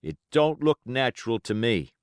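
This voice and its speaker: A man voicing a bold, curious pirate sailor with rough dialect in an audiobook. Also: mid-range voice, big pitch swings, moderate pace, no room sound, clean studio sound, close to an everyday voice. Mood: suspicious. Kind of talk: audiobook character voice.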